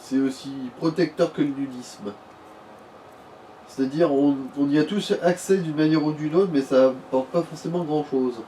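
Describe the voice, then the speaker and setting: A man's voice: a little high, normal speed, mellow. A man chatting casually as co-commentator on a game, joking in slang. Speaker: let's play co-commentator. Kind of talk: casual gameplay chat. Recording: distant mic with light noise.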